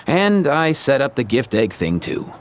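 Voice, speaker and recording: A man's voice: mid-range voice, sing-song, a little slow. An actor playing a casual, brotherly young man in a video game, slangy and a little bashful. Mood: sheepish.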